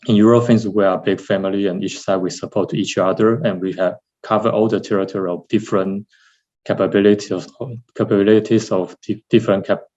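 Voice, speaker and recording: Deep-voiced Taiwanese man presenting. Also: dark tone. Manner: halting, with repeated and corrected words. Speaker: laboratory director presenting. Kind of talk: webinar slide presentation. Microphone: video call, seated at computer. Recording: dull, lo-fi webinar audio.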